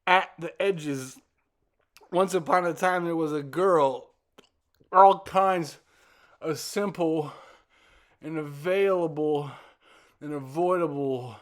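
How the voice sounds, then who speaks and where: A man reciting his own poem as spoken word, in deliberate, broken phrases with halting pauses and repeated words. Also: on the high side. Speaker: poet reciting own work.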